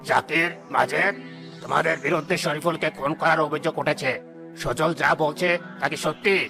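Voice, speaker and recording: A man's voice: on the high side, fairly even intonation, normal speed, gravelly, pushed and bright, soft background music. A man playing a suspicious, authoritative village headman in a cartoon, questioning people formally. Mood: stern. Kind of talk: cartoon voice acting.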